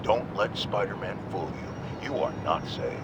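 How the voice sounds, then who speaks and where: A man's voice: on the low side, animated, moderate pace, lightly acted. Guy doing a preachy talk radio host, emphatic, noisy broadcast. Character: blustering middle-aged male talk radio host.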